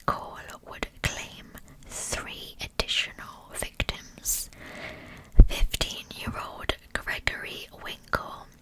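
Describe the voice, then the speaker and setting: Woman doing asmr storytelling, all breathy whisper, really slow, up close on the mic. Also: bright, forceful tone, poor audio. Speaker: ASMR true crime storyteller. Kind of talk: whispered ASMR scripted narration.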